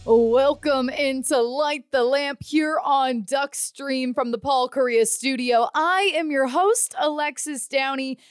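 A woman's voice: high-pitched, slow pace, few pauses, dry recording, soft background music. A woman hosting a sports show, delivering a scripted recap of the action.

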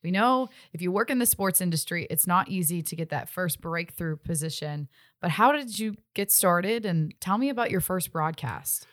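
A woman's voice: fairly low pitch, lively intonation, moderate pace, no room sound, studio quality. A woman hosting a sports interview in an upbeat, encouraging way. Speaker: host, interviewer. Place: studio.

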